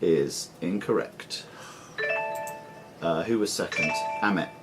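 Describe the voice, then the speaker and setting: A man reading questions and giving rulings as quizmaster, deliberate and formal. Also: low voice, over loud music. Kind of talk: reading quiz questions at a live club quiz. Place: sports and social club room. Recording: clearly noisy live club recording.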